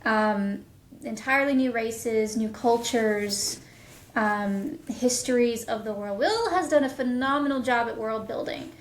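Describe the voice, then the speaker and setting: A woman's voice: a little high, measured pace, reverberant, a little hiss or hum. A woman talking casually as a guest in an interview about her creative work. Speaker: audio drama writer and producer, podcast guest.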